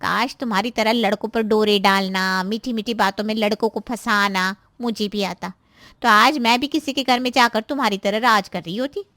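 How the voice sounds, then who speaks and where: A woman's voice: medium pitch, steady tone, normal speed, sharp tone, no echo, clean studio sound, lightly acted. A woman playing a scheming, spiteful married daughter in a cartoon moral story, with a nagging, insinuating and complaining manner. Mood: scornful, taunting.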